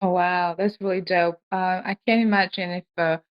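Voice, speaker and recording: A woman from Senegal hosting a podcast conversation in a casual, relaxed manner with bits of slang. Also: fairly low pitch, moderate pace. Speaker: podcast host, programmatic trainer. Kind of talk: conversational interview. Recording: dull lo-fi sound.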